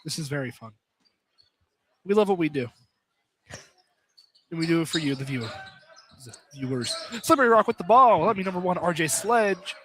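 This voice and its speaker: A man's voice: a little high, expressive, normal speed, pauses often, fairly bright tone. A young man doing live basketball play-by-play over a noisy gym broadcast. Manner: joking, playful. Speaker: sports play-by-play commentator. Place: indoor basketball field house.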